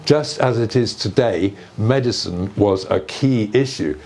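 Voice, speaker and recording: An older man giving an informal explanatory talk in a discursive, conversational way, with hesitant pauses and small asides. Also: fairly low pitch, darkish tone. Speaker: speaker on earth energies and ancient sites. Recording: live talk with clear background noise.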